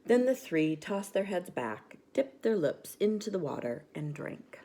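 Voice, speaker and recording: A woman narrates a picture book for children at bedtime. Her voice sits low and has a warm, rounded tone, and she tells the story expressively. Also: big pitch swings, measured pace.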